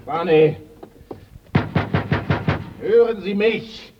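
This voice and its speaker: A man's voice: high-pitched, very slow, recorded from a distance. An actor playing an alarmed man, urgent and calling out, in a German radio crime drama. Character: alarmed man raising help in emergency. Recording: dull lo-fi recording with music.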